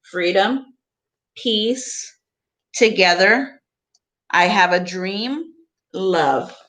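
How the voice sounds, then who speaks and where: Female teacher, medium pitch, read-aloud storytelling for pupils with explanatory asides and deliberate short phrases. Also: a bit bright. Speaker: teacher reading a picture book aloud to students.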